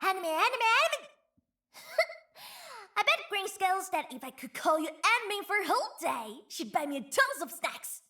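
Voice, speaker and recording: A woman's voice: very high-pitched, big pitch swings, measured pace, sharp tone, heavily acted. A woman voicing a playful, greedy young female chief in a game, cheeky and teasing. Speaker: voice actor. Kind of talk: game character voice lines.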